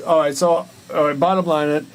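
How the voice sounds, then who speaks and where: A man's voice: on the high side, steady tone, a little fast. A man in casual co-host conversation, speaking bluntly and slangily with some swearing. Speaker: podcast co-host. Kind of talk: casual chat. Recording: clear background noise.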